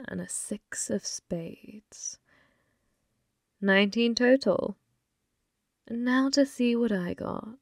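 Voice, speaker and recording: A woman voicing a flirty, possessive female demon gambler in an ASMR roleplay, breathy and often whispering, teasing with sweet menace. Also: on the high side, a little mellow, no room sound, clean studio sound, exaggerated voice. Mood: teasing, playful. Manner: slow, teasing, calls listener love. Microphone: close microphone, dry.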